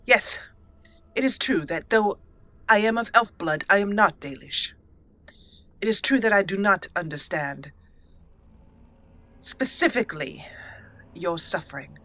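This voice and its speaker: A resolute young elf mage, as a woman puts her on speaks in a bright, ringing tone. She talks in a measured, solemn manner, choosing her words with care. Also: on the low side, dry recording. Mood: earnest. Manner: measured, solemn role-play reading.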